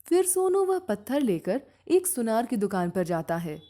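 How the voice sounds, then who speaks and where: A woman narrating a scripted moral story in a deliberate, simple storytelling manner. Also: on the high side, sing-song, mellow.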